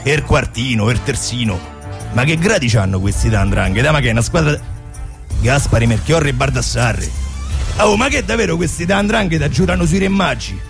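An actor playing a bumbling, bossy Roman gangster in satirical radio theatre, with a Roman accent and an exasperated, muttering manner. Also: male voice, mid-range voice, animated, moderate pace, over music, lightly acted. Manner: muttering, exasperated, breaking off and restarting. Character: bumbling, bossy Roman gang boss leading a mock ritual.

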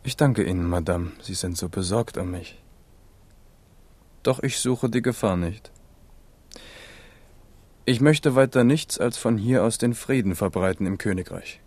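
A man's voice: on the low side, a little slow, long pauses, a little mellow, no room sound. A spirited, courteous young king. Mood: calm, courteous. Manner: courteous, earnest. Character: self-assured, tactful, spirited young king and soldier. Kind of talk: German radio drama acting.

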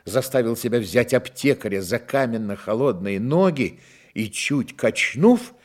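An actor narrating a Soviet radio drama as an earnest literary storyteller. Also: male voice, medium pitch, animated, a little slow, close to an everyday voice. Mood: earnest, dramatic. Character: earnest storyteller of a young man's trials.

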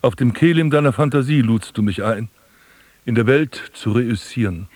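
A male actor as a proud, disillusioned prince in a literary radio play. His voice sits in the middle register. He speaks in measured, dry phrases with a sardonic and reproachful edge. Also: mid-range voice, a little mellow, close to an everyday voice. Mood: bitter, reproachful. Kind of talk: literary radio drama acting.

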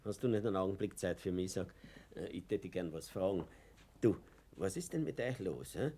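An actor with an Austrian accent playing an excitable, naive postman in his fifties in a radio play, theatrical and rambling. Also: low-pitched, a little fast, a little hiss or hum. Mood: plaintive, puzzled. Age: 50s. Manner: theatrical, rambling, dialect-tinged. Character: excitable, naive middle-aged postman, fervent and plaintive. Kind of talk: German radio play acting.

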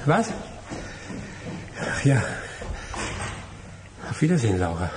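Irritable middle-aged lawyer, curt, commanding, widely swinging pitch, radio play, noisy background. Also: male voice, big pitch swings, slow pace. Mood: cold, dismissive. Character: irritable, secretive lawyer husband near fifty. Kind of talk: German radio drama acting.

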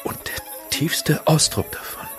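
An actor playing a rapt visionary in a dramatic radio monologue, describing an imagined film scene. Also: male voice, takes it slowly, natural voice. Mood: rapt, fervent. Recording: loud music under the voice.

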